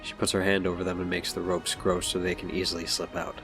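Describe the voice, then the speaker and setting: Deep guy narrator reading a scripted story, bit of music behind. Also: completely flat delivery, quick pace.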